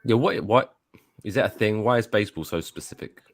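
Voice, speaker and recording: A man's voice: on the low side, expressive, a little fast. A young man co-hosting a casual sports watchalong podcast, chipping in with dry, wry remarks. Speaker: podcast co-host.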